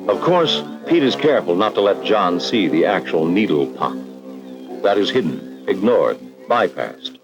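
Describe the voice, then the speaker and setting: Male American narrator, very low and highly modulated, ominous and emphatic, for a vintage cautionary documentary. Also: big pitch swings, unhurried, dull audio. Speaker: narrator of an educational film. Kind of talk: dramatic scripted 1960s documentary narration. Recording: vintage 1960s film soundtrack. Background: dramatic background music.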